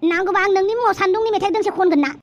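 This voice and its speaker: A woman's voice: very high voice, steady tone, very fast, a little hiss or hum. A woman voicing a soothing, wheedling father in a cartoon, chatty and coaxing. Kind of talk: cartoon dialogue.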